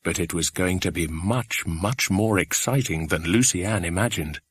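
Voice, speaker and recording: A man narrating a children's adventure audiobook in a scripted storytelling style, with a husky voice. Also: low-pitched, normal speed, fairly bright tone, no room sound.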